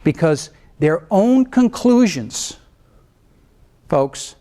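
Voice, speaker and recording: Male trial lawyer, law school lecture, middle-aged, fairly high voice, lively swings between low and raised. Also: drawn-out words. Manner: animated, mimics other lawyers with rising pitch, then drops low to show restraint.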